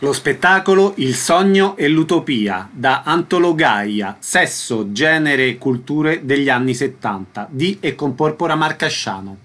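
A man's voice: a little high, expressive, a little slow, few pauses, fairly bright tone. Male radio announcer reading scripted notices. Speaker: radio presenter.

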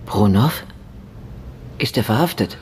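An actor playing a blunt, disillusioned informant in a radio drama, terse and serious. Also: male voice, on the low side, expressive, unhurried, a little mellow, a little hiss or hum. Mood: grave.

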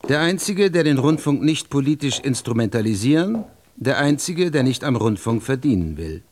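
A man's voice: mid-range voice, very animated, normal speed, a little mellow. Male, mid register: 1920s state secretary for radio drama, formal, persuasive, sure of himself. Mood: insistent, confident. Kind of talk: German radio drama acting.

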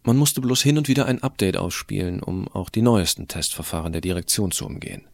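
A man's voice: sits low, animated, a little fast, dry recording, full character voice. A man narrating as a sardonic, self-assured teenage hacker in a first-person audio drama, casual and wry. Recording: clearly audible hiss.

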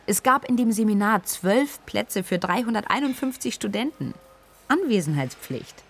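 A woman's voice: medium pitch, expressive, normal speed, faint music behind, close to an everyday voice. An actress playing a defensive, uneasy young female student in a radio drama, speaking matter-of-factly.

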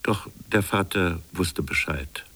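Colonial official, bass-deep voice, measured, solemn, reflective, defiant, literary radio drama. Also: male voice, bright, forceful tone, husky, heavily acted. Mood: reflective, earnest. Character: defiant, reflective colonial official defending his invented fable.

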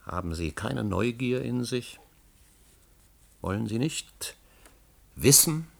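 An actor in a German radio play, playing a driven, imperious middle-aged scholar who suspects conspiracies and speaks in emphatic, deliberate phrases. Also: male voice, medium pitch, lively intonation, vocal fry, full character voice. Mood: urgent, conspiratorial. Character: driven, conspiracy-minded older male history scholar, insistent and imperious.

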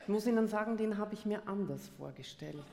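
A woman's voice: mid-range voice, a little fast, gravelly, noisy background. Teasing Viennese woman on a concert stage. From Austria. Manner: teasing, theatrical, playfully indignant. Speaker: co-presenter. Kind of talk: comedic carnival stage banter. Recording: live hall reverb.

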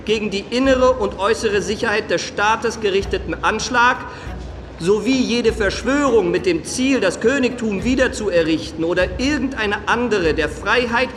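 A state official reading a proclamation in a radio drama. His high voice is formal and declamatory, ringing with long hall reverb over faint noise. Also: moderate pace, a bit bright. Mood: solemn, official. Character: official male reader proclaiming a government decree.

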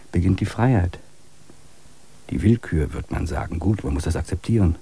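A man narrating a radio play in a measured, contemplative way. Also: sits low, mellow, breathy edge.

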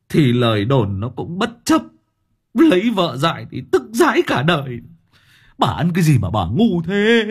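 A bad-tempered, bossy old village husband, put on by a male storyteller. He snaps and barks in a gruff, husky voice, and his tone jumps up and down as he scolds. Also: fairly high pitch, pitched above the performer's own voice, exaggerated voice. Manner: gruff, snapping, cursing.

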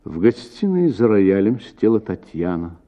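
A man reading a literary short story as an actor, with expressive, theatrical phrasing. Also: on the low side, moderate pace, muffled recording. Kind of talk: expressive literary audiobook narration.

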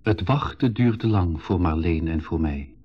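An actor playing the narrator who recalls an adventure, telling it in scripted storytelling style with a Flemish accent. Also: male voice, sits low, animated, a little slow, husky, heavily acted. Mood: measured storytelling. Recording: dull 1980s radio recording.

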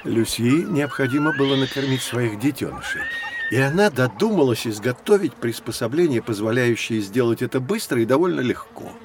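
A man playing a patient, learned guide who teaches children in scripted educational audio narration, didactic and measured. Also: mid-range voice, lively intonation, background noise, lightly acted. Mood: explaining.

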